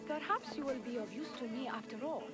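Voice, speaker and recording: A woman playing a cold, imperious and suspicious High Priestess in a video game, with a disdainful manner. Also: fast talker, lightly acted. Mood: haughty. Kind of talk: scripted video game dialogue. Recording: loud music behind the voice.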